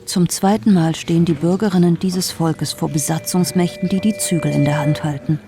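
A man's voice: a little high, fairly even intonation, moderate pace, dark tone, soft background music. A man speaking with passion and posing rhetorical questions.

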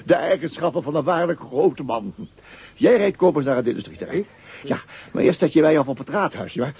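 An actor playing a pompous, anxious small-town mayor in a radio play, who speaks theatrically with a raspy voice. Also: male voice, fairly high pitch, expressive, brisk, narrow, tinny audio, full character voice. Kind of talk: radio drama acting.

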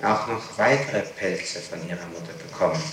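Low-voiced male interrogator in a very echoey room. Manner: formal, deliberate questioning. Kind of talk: formal interrogation. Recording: noisy, echoey 1960s tape recording.

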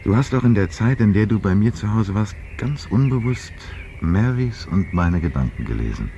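An actor playing an assured investigator in a radio play, explaining and questioning with patient insistence. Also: male voice, fairly low pitch, lively intonation, moderate pace, warm tone, natural voice. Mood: insistent. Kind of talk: German radio play acting. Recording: dull vintage radio sound, faint noise.

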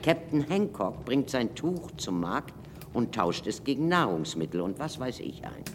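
An older man playing a grave scholarly excavator in a radio drama, speaking emphatically and deliberately with dramatic pauses. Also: mid-range voice, very animated, slight background noise. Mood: musing. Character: grave scholarly excavator recounting a civilisation's collapse. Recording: reverberant hall, music underneath.

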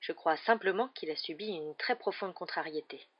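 Une femme qui prête sa voix dans un livre audio à un vieux directeur sorcier calme, bienveillant et amusé. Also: female voice, on the low side, dull audio, close to an everyday voice. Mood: calm. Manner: serene, measured. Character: calm, kindly, amused old wizard headmaster. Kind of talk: acted audiobook dialogue.

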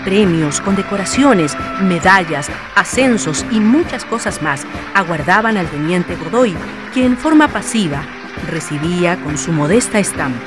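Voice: mid-range voice, expressive, unhurried. Radio drama narrator, scripted historical storytelling, music underneath.

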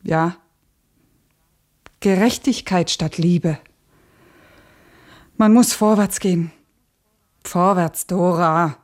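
An actress in a radio play, playing a grieving, intense woman revolutionary who speaks in halting short phrases. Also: fairly low pitch, darkish tone, heavily acted. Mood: resolute, sorrowful. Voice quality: husky, breathy.

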